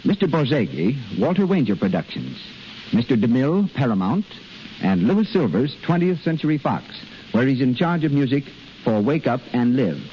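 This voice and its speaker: Male American 1930s radio announcer, animated scripted read, dull recording with faint hiss. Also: medium pitch, moderate pace. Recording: hissy, muffled 1930s radio broadcast.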